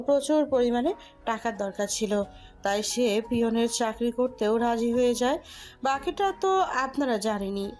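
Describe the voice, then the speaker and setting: A woman's voice: fairly high pitch, a little mellow. A woman narrating a scripted dramatic story over music.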